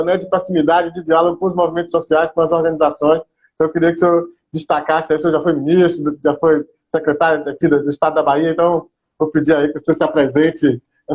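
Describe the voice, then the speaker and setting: A man's voice: a little high, darkish tone. A man hosting a live stream, asking long, courteous questions with frequent fillers. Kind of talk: interview over a live stream. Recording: dull lo-fi call audio.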